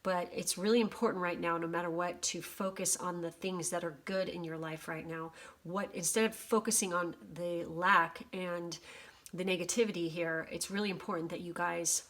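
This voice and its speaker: A woman with a slightly worn, hoarse voice giving a casual general oracle card reading, swearing freely. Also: fairly low pitch, fairly even intonation, moderate pace, fairly bright tone. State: voice going hoarse. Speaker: tarot reader.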